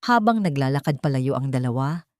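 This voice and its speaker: A woman's voice: extremely low pitch, animated, a little fast, a little mellow, no echo, clean studio sound. A woman reading a dramatic scripted story as its narrator.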